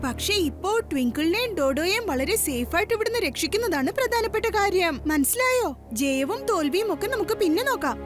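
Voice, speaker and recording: A determined young boy superhero in a kids' cartoon. The voice is very high and lively, giving earnest instructions, with music clearly playing underneath. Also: a little fast, hardly any pauses. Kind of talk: dubbed children's cartoon acting.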